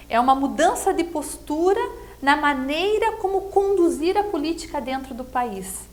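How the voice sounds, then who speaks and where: A middle-aged Brazilian woman giving a conversational political interview, explaining herself earnestly and often checking with tag questions. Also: high voice. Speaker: political pre-candidate being interviewed.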